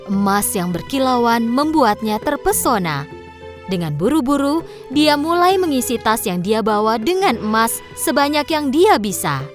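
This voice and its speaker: Female narrator for children's stories, high and lively, faint music underneath. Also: measured pace. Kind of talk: scripted children's storybook narration. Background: background music.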